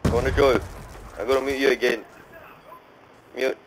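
Brief: Singaporean man, headset game chat, playful mock-interviewer banter, mid-pitched voice. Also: fairly even intonation, normal speed, gravelly, noisy background. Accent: Singaporean English. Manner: joking, plays mock boss and job interviewer. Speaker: gamer in team voice chat.

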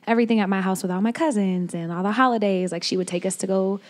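A woman's voice: medium pitch, quick pace. Young woman from Oklahoma, candid and slangy podcast guest on a studio mic. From Oklahoma, USA. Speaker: podcast host, guest this episode. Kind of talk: casual interview chat. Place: small studio, on a couch. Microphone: studio mic on a stand, headphones.

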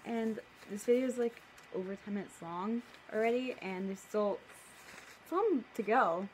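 A young woman reads a story aloud in a casual vlog style, at a middle pitch. She keeps stepping out of the reading with playful, mock-indignant comments. It is recorded in a living room on a camera a little way off, with faint noise behind her. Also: lively intonation, a little slow, poor audio. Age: young adult. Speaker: video creator. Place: living room at home, indoors.

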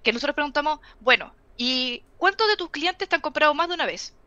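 A woman's voice: high voice, no echo, muffled recording. A woman in her thirties with a Chilean accent, a guest expert explaining with rhetorical questions in a casual interview over a headset on a video call. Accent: Chilean Spanish. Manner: animated, rapid-fire explaining with rhetorical questions. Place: home room with bookshelves, indoors.

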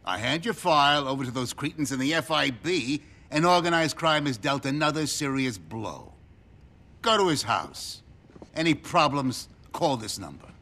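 A middle-aged American government handler in a crime game. His voice is forceful and bright, swinging widely in pitch as he delivers clipped, sardonic orders with smug cynicism. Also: animated, normal speed. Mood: sardonic, commanding. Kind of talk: video game cutscene acting.

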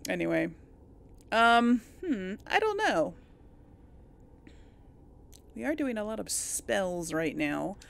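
Chatty, creaky-voiced woman gamer. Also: mid-range voice, sing-song, slow pace, long pauses, slight background noise. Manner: chatty, thinking aloud to viewers. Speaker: gaming video host. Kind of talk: casual let's play commentary.

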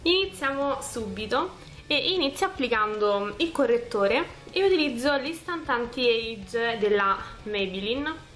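A woman's voice: on the high side, expressive, a little slow, fairly bright tone, soft background music. A woman, casually talking through a makeup tutorial step by step in an informal, chatty way. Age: 20s. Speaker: beauty vlogger. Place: small furnished room at home, indoors.

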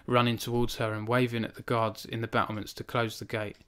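A man's voice: mid-range voice, little pitch movement, a little fast, a bit bright, smooth. Man reading aloud and chatting to viewers. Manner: earnest and self-conscious, with frequent restarts and fillers when chatting. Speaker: author hosting a book launch stream. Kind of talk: reading aloud from his novel, then chatting with live viewers. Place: small home room. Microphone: studio microphone on a stand in front of him.

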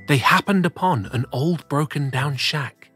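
A man narrating a children's story as host, reading rhymes in a theatrical, deliberate way. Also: fairly high pitch. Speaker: storyteller host of a children's read-aloud show. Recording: soft music bed behind the voice. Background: soft music.

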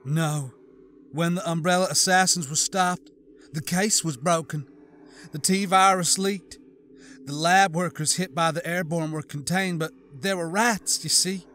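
A man voicing a grieving, half-mad woman scientist who snarls coldly and bursts into shouts. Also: a little high, fairly even intonation, measured pace, a little hiss or hum, voice pushed up. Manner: cold, snarling, breaking into shouts. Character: grief-crazed, bitter woman scientist.

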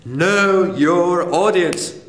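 A man's voice: high-pitched, extremely slow, fairly bright tone, reverberant, poor audio. A middle-aged man giving presentation advice straight to camera, emphatic and full of rhetorical questions. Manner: emphatic, rhetorical questions, addresses viewers as friends. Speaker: keynote speaker. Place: hotel room indoors.

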